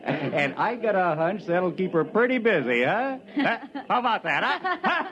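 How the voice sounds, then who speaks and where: A man's voice: high-pitched, very animated, measured pace, a bit bright, full character voice. An actor playing a cocky male spaceman in a 1950s radio drama, teasing and chuckling. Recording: dull old radio broadcast with music.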